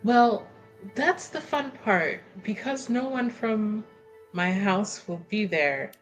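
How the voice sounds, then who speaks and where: A woman's voice: mid-range voice, takes it slowly, soft background music, lightly acted. A woman voicing a wry young noblewoman in a casual tabletop roleplaying game. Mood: wry, explaining.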